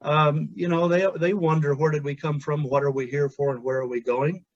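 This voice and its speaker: A male chaplain whose first language is Pennsylvania Dutch, giving a measured, earnest webinar talk with pauses and restarts. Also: a little high, level tone, no echo. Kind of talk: webinar presentation. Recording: dull, dry lo-fi audio.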